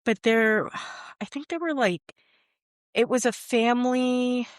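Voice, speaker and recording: A woman's voice: a little high, takes it slowly, no echo. Woman cohosting a podcast, rambly and unsure, laughs a lot, dry close mic. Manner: rambling, hesitant, laughs often. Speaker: podcast co-host. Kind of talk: casual chat.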